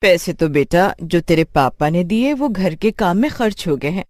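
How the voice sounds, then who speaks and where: A woman playing a caring, gently reassuring mother who is short of money, in a cartoon story. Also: lowish voice, expressive, moderate pace, natural voice. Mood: caring, uneasy.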